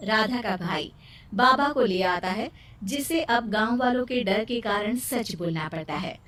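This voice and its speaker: Female storyteller for children's tale, scripted narration, raised pitch, slightly off-mic. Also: lively intonation, unhurried, a bit bright, reverberant, distant mic.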